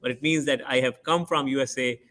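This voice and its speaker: A man from Pakistan, a doctor giving a testimony talk on an online panel, speaking in a halting way with many fillers and restarts over a video call. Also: mid-range voice, fairly even intonation, fairly bright tone.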